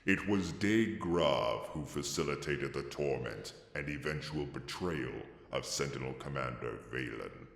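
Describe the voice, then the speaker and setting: A man acting as a solemn, ancient narrator of legend in a video game, grave and stately with a very deep, gravelly voice. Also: expressive, measured pace, hardly any pauses, fairly bright tone, echoey room, long reverb tail, exaggerated voice. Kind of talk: scripted epic video game cutscene narration.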